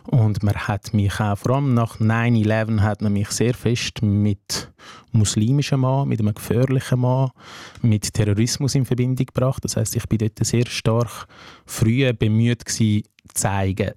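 A young man with a Swiss German accent talks in a mellow, dark voice, earnest and searching. The sound is dry and close, with no room around it. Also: mid-range voice, dry recording. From Afghanistan. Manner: earnest, searching, repeats phrases with "hey". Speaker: guest, social worker. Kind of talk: reflective interview talk.

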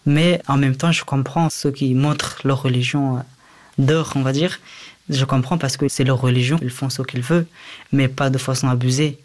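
A man's voice: mid-range voice, steady tone, moderate pace. Young man recounting his life, non-native French. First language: a language other than French. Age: young adult. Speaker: interviewee. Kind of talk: personal testimony in an interview.

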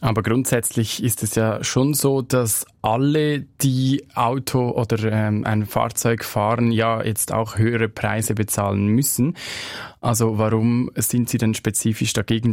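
Gravelly-voiced male interviewer. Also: lowish voice, fairly even intonation, a little mellow, dry recording, clean studio sound. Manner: measured, pointed questioning. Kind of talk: interview questions.

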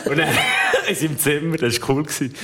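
A man's voice: fairly high pitch, fast, over a noisy crowd. A man in his thirties with a Swiss German accent, a guest telling stories in casual conversation, laughing often and restarting his sentences. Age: 30s.

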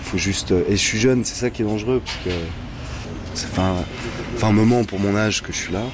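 A man's voice: on the low side, fairly even intonation, fairly quick, noisy background. A young man, an actor, answering interview questions hesitantly, often repeating words and laughing. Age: young adult. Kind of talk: casual interview talk.

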